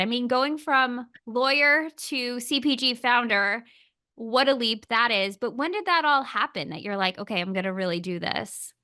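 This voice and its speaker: A woman's voice: fairly high pitch, bright, forceful tone. A woman hosting a podcast interview, speaking in an enthusiastic, upbeat way. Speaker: podcast host.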